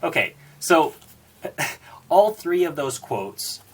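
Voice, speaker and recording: Slightly husky man reviewing books. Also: fairly high pitch, big pitch swings, drawn-out words. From born in Singapore, United States citizen. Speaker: book reviewer. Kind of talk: book review talk with read-out quotations.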